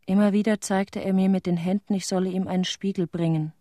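A grieving, haunted middle-aged widow played by an actress gives an intimate radio play testimony. Her voice is soft-edged and mellow, recorded very dry. She speaks with restraint, in short measured sentences with pauses. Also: mid-range voice, steady tone, dry recording, close to an everyday voice. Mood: sombre, restrained. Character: grieving widow of a Chernobyl victim, tender, haunted.

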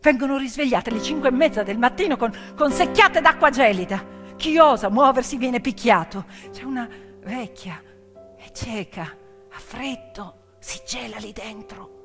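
A woman's voice: a little high, normal speed, fairly bright tone. A woman stage storyteller narrating dramatically, with emphatic, short, suspenseful phrases. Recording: reverberant hall with piano music. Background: piano music.

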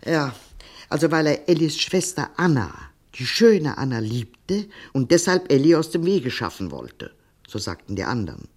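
An old grandmother in a German radio drama, with a very lively, swinging intonation. She is fond yet discreet, and muses hesitantly as she searches her memory. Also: low voice, normal speed, slightly rough, heavily acted. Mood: reminiscing. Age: older. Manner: hesitant, musing, trailing into recollection. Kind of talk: German radio play acting.

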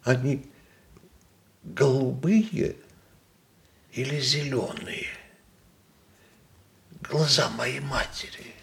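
An actor playing a gentle, grieving middle-aged son in a radio play, hesitant and tender. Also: male voice, medium pitch, fairly even intonation, extremely slow, vocal fry, pauses often, heavily acted. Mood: sorrowful. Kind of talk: Russian radio play acting.

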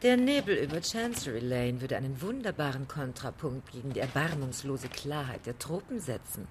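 An actress playing a bookish, proper companion in a radio play, with measured, literate, dry irony. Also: female voice, extremely low pitch, expressive. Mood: dry, composed. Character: bookish, drily proper woman companion of a playwright. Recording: noisy ambient sound behind.

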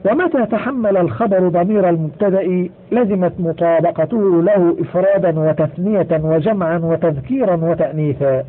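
A man reading a grammar textbook aloud in a measured way, slowing down to stress each example. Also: high voice. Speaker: audiobook reader. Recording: tinny phone-like audio.

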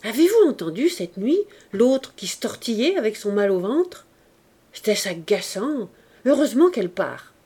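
A woman's voice: fairly high pitch, big pitch swings, moderate pace, dark tone, husky. Woman doing a snobbish male valet. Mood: disdainful. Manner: disdainful gossip.

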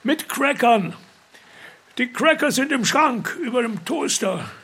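Frail old man, sly and bossy, slow gravelly sharp voice, radio drama. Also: extremely high pitch, animated, heavily acted. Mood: calm, knowing. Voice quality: gravelly, raspy. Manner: slow, deliberate, commanding. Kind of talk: radio play acting.

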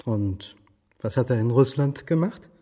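An actor playing a historian in his forties in a radio drama, terse, dry and quietly probing. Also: mid-range voice, expressive, measured pace, slightly rough. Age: 40s. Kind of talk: German radio drama acting. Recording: reverberant room.

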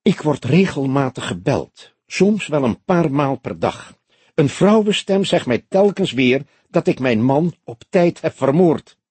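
A man's voice: a little high, very animated, unhurried, darkish tone, breathy edge, no echo, voice pushed up, exaggerated voice. A man voicing a fierce, offended young woman with a snappish manner in an audiobook. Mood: subdued. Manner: snappish, indignant. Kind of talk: audiobook character dialogue.

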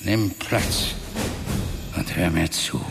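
An actor playing an ancient demon lord and father in a fantasy radio drama, speaking with solemn, commanding, menacing calm. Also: low voice, very slow, gravelly, noisy background, full character voice. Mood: calm, grave. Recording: music under the voice.

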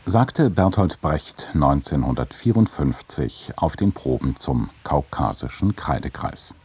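A man's voice: very deep voice, animated, measured pace, a little mellow, slightly rough. A man with a standard German accent reading a scripted programme announcement as a radio presenter.

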